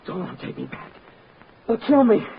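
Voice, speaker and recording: An actor playing a frightened, exhausted young fugitive in old-time radio drama, speaking in a halting, pleading way. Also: male voice, sits high, sing-song, gravelly, exaggerated voice. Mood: panicked. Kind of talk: 1940s-50s radio drama acting. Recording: noisy, tinny old-time radio recording.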